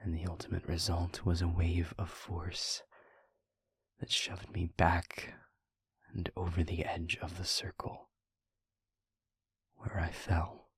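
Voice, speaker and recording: A man playing a weary, brooding but protective demon in an intimate audio roleplay, speaking in a husky half-whisper with halting, formal phrasing. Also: deep voice, monotone, no room sound, full character voice. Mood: reluctant, somber. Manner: slow, halting, formal phrasing with heavy pauses. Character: weary, brooding male demon, gravely guarded yet protective.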